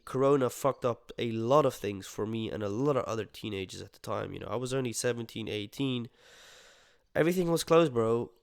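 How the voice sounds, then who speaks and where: A man's voice: mid-range voice, creaky, dry recording, studio quality. A man in his twenties from the Netherlands, talking casually and at length to his viewers, slangy and swearing now and then. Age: 20s. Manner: rambling, slangy, swears, talks straight to the audience. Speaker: podcast host. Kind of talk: casual solo monologue to viewers.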